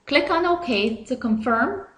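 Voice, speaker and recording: A woman narrates a software tutorial with a fairly high voice, taking it slowly and step by step. Her delivery is measured and instructional, and the recording sounds noticeably roomy and echoey. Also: lively intonation.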